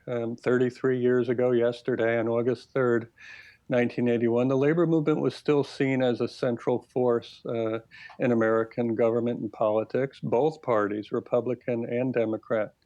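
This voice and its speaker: A man with a mid-range voice gives academic commentary as an interview guest. He explains history in a measured way, and the recording is dry and a little dull. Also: mid-range voice, level tone, dark tone, dry recording.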